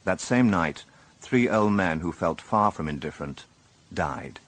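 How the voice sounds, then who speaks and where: A man acting as the narrator of a radio murder mystery, measured and dryly ironic. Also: low voice, lively intonation, fairly bright tone. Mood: dry, grave. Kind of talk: scripted radio drama narration.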